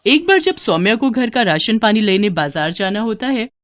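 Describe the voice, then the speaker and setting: A woman's voice: medium pitch, very animated, normal speed, a bit bright, dry recording, studio quality. A woman reading scripted moral-story narration as a storyteller.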